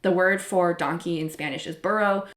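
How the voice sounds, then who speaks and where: A woman's voice: fairly low pitch, fairly even intonation, moderate pace, a bit bright. A young adult woman hosting a solo podcast, chatty, with self-deprecating asides. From United States. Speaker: podcast host.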